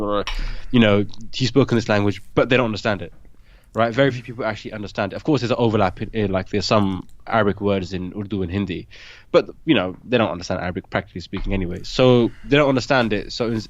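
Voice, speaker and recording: A man raised in the UK, born in Pakistan, hosting a casual interview and telling animated anecdotes that act out what others said. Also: on the low side, no echo, highs cut off. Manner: animated storyteller, acts out quoted voices. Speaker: podcast host.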